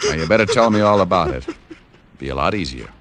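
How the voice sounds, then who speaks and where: An actor playing a tough, wry private detective with an American accent and clipped, needling delivery in an old radio crime drama. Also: male voice, low voice, animated, fast talker, fairly bright tone, slight background noise. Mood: hard, accusing. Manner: wry, needling, clipped hard-boiled talk. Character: tough, wry male private detective, hard-boiled narrator. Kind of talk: 1940s-50s radio detective acting and narration. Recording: old radio recording, music behind.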